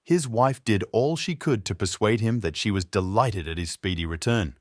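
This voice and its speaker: A man's voice: on the low side, normal speed, no room sound, studio quality. A man reading a scripted fairy tale as a storyteller.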